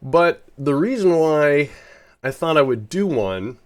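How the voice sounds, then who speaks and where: A man's voice: fairly high pitch, animated, slow, faint music behind. A man with a head cold giving rambling, casual commentary while live-streaming a space game, often correcting himself.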